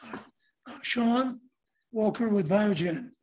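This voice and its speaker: Husky-voiced woman moderator. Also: mid-range voice, animated, takes it slowly, a little mellow, low-quality recording. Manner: brief introductions between speakers. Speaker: panel moderator.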